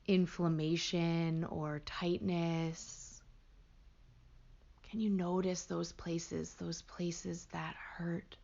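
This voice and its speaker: A woman leading a guided meditation as a teacher, gentle and hushed, with pauses between short phrases. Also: lowish voice, muffled recording.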